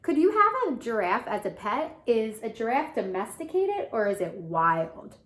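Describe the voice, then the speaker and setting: A woman reading a children's story aloud as a teacher, pausing to ask questions and explain words. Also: a little high, very animated, fairly bright tone.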